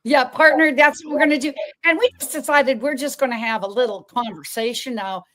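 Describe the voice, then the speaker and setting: A woman's voice: high-pitched, brisk, a bit bright. A woman hosting an online talk, chatty and effusive, often restarting her sentences. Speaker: host. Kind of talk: casual interview chat.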